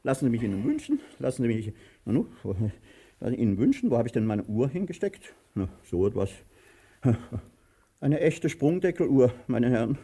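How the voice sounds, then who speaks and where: An actor playing a pompous, bullying, fussy master with a husky, rough voice in a theatrical radio play. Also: male voice, medium pitch, big pitch swings, moderate pace, dark tone. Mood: fussy, ceremonious. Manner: barks orders, then lapses into ceremonious politeness.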